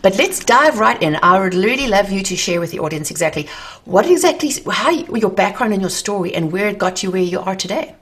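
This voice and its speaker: A woman's voice: medium pitch, lively intonation, fairly quick, husky. A woman hosting an interview, speaking in an upbeat, encouraging way about faith. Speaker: host. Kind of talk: interviewing a guest.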